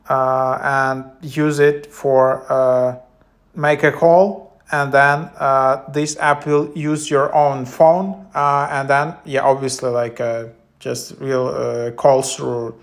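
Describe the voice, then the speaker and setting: A man giving a casual, loosely improvised app walkthrough as a tech vlogger, with halting phrasing. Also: medium pitch, a bit bright. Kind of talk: casual app walkthrough, partly reading descriptions aloud.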